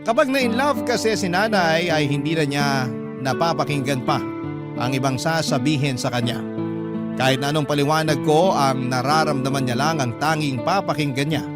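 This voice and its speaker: A Filipino man narrates a radio drama in a fairly high voice. His storytelling is scripted, expressive and sentimental. Loud guitar music runs underneath him. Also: normal speed.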